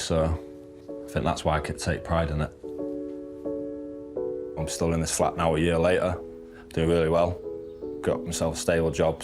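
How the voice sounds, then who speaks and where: A man talking casually about his own life as a charity client. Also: a bass voice. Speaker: former homeless client of a charity. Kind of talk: casual personal testimony.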